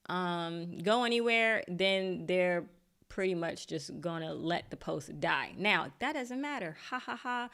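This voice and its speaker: A woman's voice: medium pitch, fairly bright tone. A woman teaching a live online workshop, chatty and encouraging, laughing at her own slips. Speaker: LinkedIn marketing coach. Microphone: desk mic.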